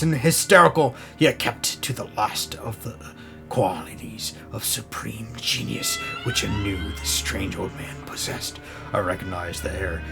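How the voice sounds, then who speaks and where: A man reading a horror story aloud in a dramatic, intense style, swelling and dropping to hushed phrases. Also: mid-range voice, vocal fry. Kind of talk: dramatic scripted audiobook narration of a horror story. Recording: music behind the voice. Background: instrumental music.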